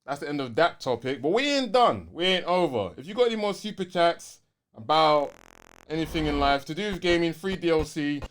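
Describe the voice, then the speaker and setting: A man with a London accent co-hosting a gaming podcast in casual, slangy, animated chat. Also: a little high, fairly quick. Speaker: podcast co-host.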